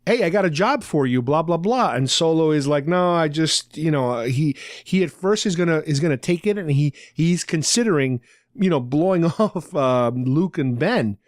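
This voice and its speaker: A man with a somewhat high-pitched voice hosts a casual fan podcast. He recaps conversationally, dropping in loose paraphrased dialogue. The sound is very dry, with no room on it. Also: lively intonation, normal speed, no echo. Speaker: podcast host. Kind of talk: casual fan discussion, recapping a radio drama.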